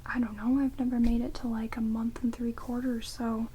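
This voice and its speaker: A woman playing a sardonic, playful vampire caretaker in a soft, breathy ASMR roleplay whisper. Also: fairly high pitch, moderate pace, close to an everyday voice. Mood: gossipy, bored. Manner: teasing, dry, casually chatty. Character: sardonic immortal female vampire, rude yet playful caretaker. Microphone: close binaural microphones. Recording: steady rain noise on binaural recording. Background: heavy rain.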